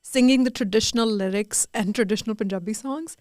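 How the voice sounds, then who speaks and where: A middle-aged woman hosting a podcast, chatting casually in an expressive, emphatic way. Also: a little high, quick pace, no room sound, clean studio sound. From India. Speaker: podcast host. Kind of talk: casual conversational interviewing.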